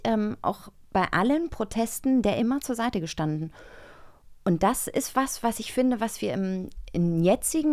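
A woman co-hosting a casual magazine talk, expressive and given to banter. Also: fairly high pitch. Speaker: podcast co-host.

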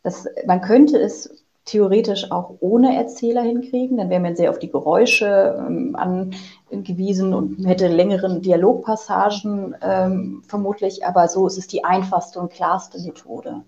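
A woman giving thoughtful interview answers as a writer, pausing to find her words. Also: mid-range voice, fairly even intonation, dark tone, highs cut off. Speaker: interviewed audio drama writer.

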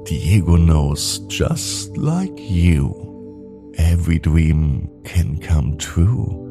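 A man narrating a scripted bedtime story for children in a gentle, deliberate storytelling manner. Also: rumbling low, big pitch swings, vocal fry, music in the background.

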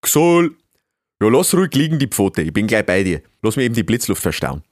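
A gruff, eccentric beaver inventor from an animal storybook. He speaks broad Bavarian and blusters like an exasperated boss, his pitch swooping widely in a dry, close recording. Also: sing-song, brisk, dry recording. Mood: busy, commanding. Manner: blustering, scolding, dialect-heavy. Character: gruff, bossy, eccentric old beaver scientist, easily exasperated.

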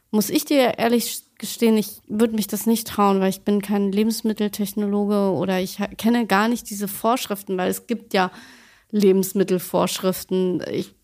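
A woman's voice: mid-range voice, a little mellow. A woman hosting a podcast, asking curious, conversational questions in a casual chat. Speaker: podcast host.

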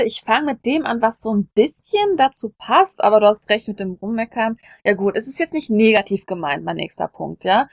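A woman's voice: mid-range voice, vocal fry, dry recording. Woman chatting, dry muffled sound. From Germany. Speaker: podcast co-host. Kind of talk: casual conversational podcast talk with personal anecdotes.

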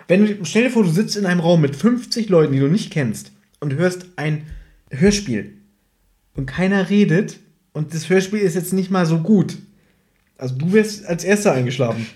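A man hosts a fan podcast from home with a slightly high voice. His delivery is casual and humorous, like relaxed talk with a friend over a shared microphone. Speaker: podcast host and audio drama fan. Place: living room at home. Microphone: one microphone shared with co-host.

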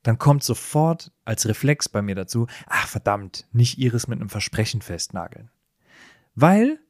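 A man's voice: mid-range voice, animated, normal speed, darkish tone, no echo, clean studio sound. A man co-hosting a podcast in casual, bantering conversation, reasoning analytically point by point. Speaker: podcast co-host.

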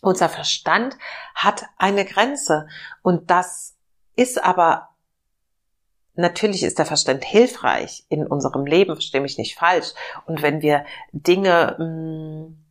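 A woman host giving a reflective self-help talk, slightly hoarse from a cold, gentle and searching with many fillers. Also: deep voice, slow. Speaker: podcast host.

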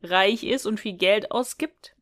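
A woman with an average-pitched voice speaks as a podcast co-host. Her delivery is informal and conversational, like chatting with a partner across the table. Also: measured pace, a bit bright. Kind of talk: casual chat.

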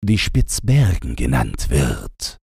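Storybook part: a gentle reindeer, slow and hushed, dark husky tone, as a male reader voices him. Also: a bass voice, huge pitch swings, no room sound, clean studio sound, full character voice. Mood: dreamy.

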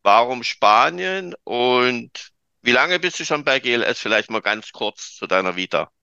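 Man, podcast host, formal, measured, bright voice, dull lo-fi recording. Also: mid-range voice, expressive, creaky, clear, resonant tone, no echo. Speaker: podcast host, exchange advisor. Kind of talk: podcast interview.

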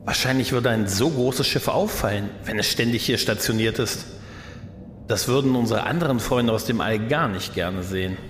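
A man playing a curious, wary adventurer in a German science-fiction audio drama, observant and deliberate in his delivery. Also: medium pitch, a bit bright, faint music behind, natural voice. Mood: reasoning. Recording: faint background noise.

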